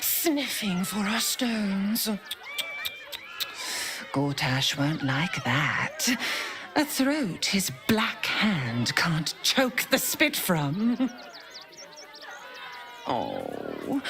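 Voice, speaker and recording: Fantasy game villainess: mad, mocking killer, extremely slow, theatrical delivery, huge pitch swings. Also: female voice, background noise, full character voice. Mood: menacing taunts. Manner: taunting, sing-song, unhinged swings between whisper and shriek. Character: deranged sadistic female shapeshifter assassin, mocking and theatrical. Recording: loud music behind.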